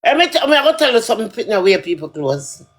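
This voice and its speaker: Woman, Jamaican accent, emphatic, repetitive, slightly high voice, casual chat. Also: sing-song, quick pace. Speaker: livestream participant.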